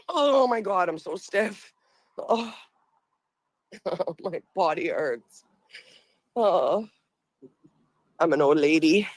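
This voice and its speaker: A woman with a Boston accent and a deep voice, chatting casually and rambling as a livestream host, laughing often, on a phone outdoors. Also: dry recording, low-quality recording. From Boston area.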